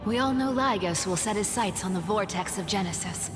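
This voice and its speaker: A woman playing a worldly, nimble demigod scout in a video game, talking in a wry, casual, unhurried manner. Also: medium pitch, natural voice. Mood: wry, cool. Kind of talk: dramatic game voice acting. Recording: reverberant hall, music behind.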